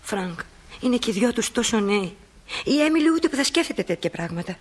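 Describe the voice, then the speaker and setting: A woman's voice: on the high side, big pitch swings, husky. A woman playing a practical, fussing middle-aged mother and wife in a radio play, with a matter-of-fact, scolding manner. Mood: anxious.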